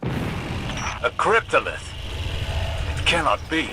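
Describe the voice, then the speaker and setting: An actor playing a stern older warrior-scholar mentor in video game mission dialogue, with grave, formal, commanding diction. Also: male voice, on the high side, sing-song, slow pace. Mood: grave, troubled. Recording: clear background noise from game action.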